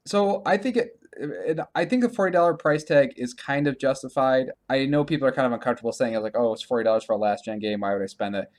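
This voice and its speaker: A man's voice: medium pitch, lively intonation, fast, few pauses, a little mellow. A man hosting a video game podcast, chatting casually about gaming news in a rambling, stammering way and often repeating himself.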